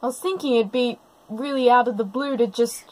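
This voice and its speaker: A woman's voice: a little high, a little fast, darkish tone. A woman giving live, unscripted reaction commentary, exclaiming and gushing as she narrates what is happening. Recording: music playing behind the commentary.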